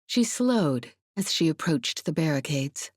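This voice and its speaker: Low-voiced woman narrating fiction, dry sound. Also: very animated, measured pace, darkish tone, no echo. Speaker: audiobook narrator. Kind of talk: dramatic scripted fiction narration.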